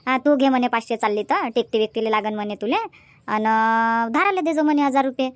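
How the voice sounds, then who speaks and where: Woman playing a high-voiced rural Marathi village granny. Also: a little fast, dry recording, natural voice. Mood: homely, explaining. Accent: Varhadi Marathi from Vidarbha. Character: older rural Marathi mother-in-law and grandmother, homely, instructing. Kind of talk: amateur cartoon comedy dubbing in village dialect.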